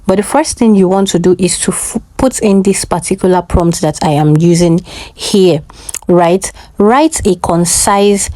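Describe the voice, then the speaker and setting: Lady doing a how-to tutorial, soft mellow tone, dry recording, keeps asking if you follow. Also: fairly low pitch, unhurried, no room sound. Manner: instructional, often adds check-in questions. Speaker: tutorial host. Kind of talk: step-by-step tutorial narration.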